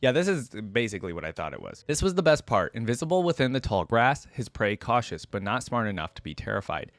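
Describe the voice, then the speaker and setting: A man's voice: lowish voice, very animated, fast, no echo. A man hosting a reaction video, reading a story aloud with dramatic narration and casual asides.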